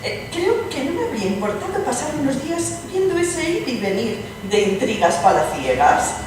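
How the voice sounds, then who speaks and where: Frivolous princess played by a woman, high and very inflected, airy radio-drama reading in a distant hall. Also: big pitch swings, normal speed. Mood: amused. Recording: echoey hall, distant mic, background noise.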